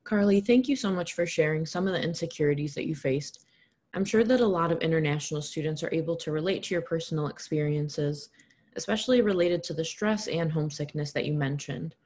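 A woman's voice: deep voice, a little fast, a little mellow, slightly rough. A woman hosting an interview show, reading encouraging, scripted questions to her guest. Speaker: podcast host interviewer.

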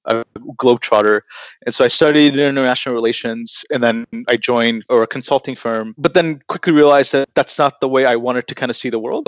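Fast-talking man on a dull, dry line. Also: mid-range voice, no echo. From United States. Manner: fast, enthusiastic, with frequent fillers and restarts. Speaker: startup investor, guest. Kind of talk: conversational interview.

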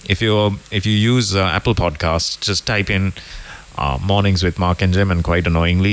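A man hosting a morning radio show, chatting casually and reading sponsor messages with a joking, rambling manner. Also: low voice. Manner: jokey, rambling, self-correcting. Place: radio studio.

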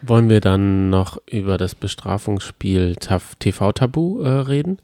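A man's voice: lowish voice, measured pace, mellow, no echo, clean studio sound. A man chatting casually as a podcast co-host in a colloquial, teasing way. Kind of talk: casual chat about reality TV.

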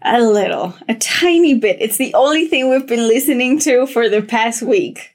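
A woman's voice: a little high, moderate pace, darkish tone. A young woman co-hosting a podcast, chatting casually and enthusiastically, often laughing. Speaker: podcast co-host. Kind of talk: casual chat.

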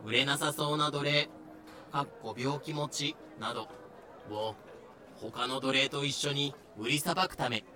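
A man narrating as a deadpan explainer in an audio drama, giving clipped, dictionary-like notes. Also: mid-range voice, unhurried. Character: deadpan explainer of historical terms. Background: clear background music.

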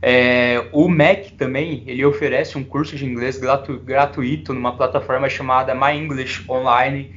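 A man with a Brazilian accent co-hosting a podcast in relaxed casual chat, with frequent filler pauses. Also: mid-range voice, a little hiss or hum. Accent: Brazilian Portuguese. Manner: relaxed, many filler "é" pauses, laughs easily. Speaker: podcast co-host. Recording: dull lo-fi sound.

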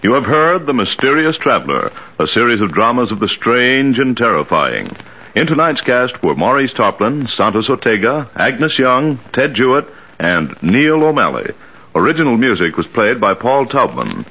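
A man's voice: medium pitch, very animated, normal speed, breathy edge. A man with an American accent making a formal, scripted announcement in 1940s radio style. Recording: muffled old radio recording, loud music.

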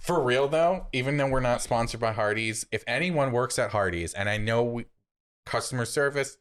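A man's voice: medium pitch, moderate pace, a bit bright, clean tone. A man with an American accent co-hosting a podcast in casual, playful banter, teasing and exclaiming as he talks. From United States. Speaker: podcast co-host.

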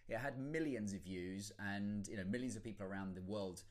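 The fairly deep-voiced bloke who opens a weekly podcast by joking at his own expense before the serious guest comes on. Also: a little fast, darkish tone. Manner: tongue-in-cheek, self-deprecating. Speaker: podcast host. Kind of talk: casual scripted podcast introduction.

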